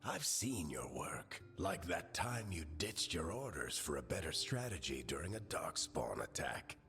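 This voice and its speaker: A fatherly, wounded veteran of a fantasy game, wry and encouraging. The voice is deep and forceful, taking its time, with big swings of intonation over a musical score. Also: very animated, measured pace, faint music behind, heavily acted. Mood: earnest, encouraging. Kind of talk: fantasy video game acting.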